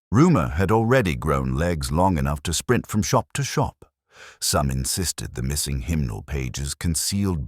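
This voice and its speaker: A man reading a scripted mystery story as narrator, in a measured, formal, literary way. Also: low-pitched, no room sound, clean studio sound.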